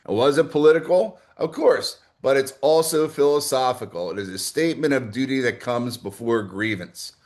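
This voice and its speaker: A man's voice: mid-range voice, normal speed, few pauses, a little mellow. Blunt male political commentator. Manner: blunt, rhetorical questions with tag "right?". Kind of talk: opinionated political monologue, part scripted with asides.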